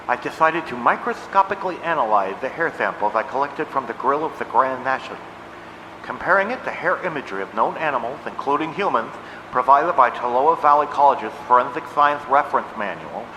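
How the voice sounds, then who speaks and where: An actor playing an earnest, nerdy amateur scientist in an audio drama, explaining things precisely and dramatically. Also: male voice, medium pitch, lively intonation, a little fast, close to an everyday voice. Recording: reverberant, noisy background.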